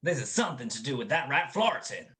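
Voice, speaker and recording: A man playing a dopey, impulsive young ex-college football player in a radio-style comedy mystery, blustering and excitable. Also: medium pitch, quick pace. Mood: suspicious, blustering.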